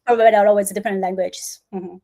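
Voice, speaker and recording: A woman's voice: medium pitch, fairly even intonation, quick pace, warm tone. A woman presenter answers product questions off the cuff, repeating words and phrases as she explains and checking in with "right?". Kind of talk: live product Q&A, spontaneous explaining.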